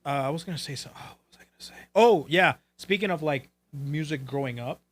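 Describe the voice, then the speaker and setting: A man from Puerto Rico with a somewhat high voice hosts a talk show as casual chat. He talks in a loose, informal way and swears freely. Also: a little fast. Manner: casual, profane.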